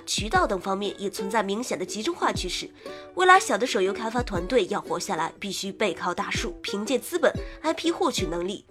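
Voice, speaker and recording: A woman host reading scripted game news in an expressive presenter's manner, slipping in wry, playful asides. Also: medium pitch. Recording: music under the voice. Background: background music.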